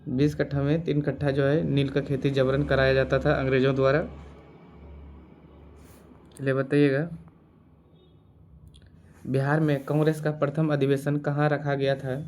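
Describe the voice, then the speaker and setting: A man teaching through exam quiz questions, reading options and repeating answers deliberately. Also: mid-range voice, completely flat delivery, a little mellow, slight background noise.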